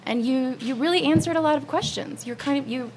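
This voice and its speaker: A woman's voice: sits high, brisk, background noise. A woman hosting a roundtable in an informal, effusive, affirming conversational way. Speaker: panel host.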